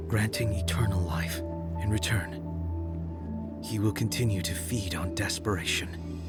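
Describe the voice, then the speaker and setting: An actor playing a weary, weakened prophet bent on revenge in a video game, with a breathy, halting, grave delivery that often drops to a whisper. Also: male voice, deep voice. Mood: grave, ominous. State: coughing, weakened. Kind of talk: dramatic video game cutscene acting. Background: prominent music.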